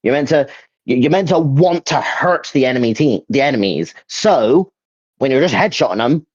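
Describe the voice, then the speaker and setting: A dull, dry lo-fi recording of a man chatting casually as a gaming co-host. His pitch is mid-range but swings in a lively way, and he often gropes for the next word. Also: normal speed, dry recording. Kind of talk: casual chat, giving opinions.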